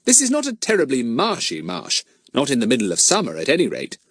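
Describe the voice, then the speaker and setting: A man voicing a confident, clever boy who leads his friends, speaking briskly and with assurance. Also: medium pitch, huge pitch swings, fairly bright tone, no echo, clean studio sound, pitched above the performer's own voice, exaggerated voice. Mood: reassuring.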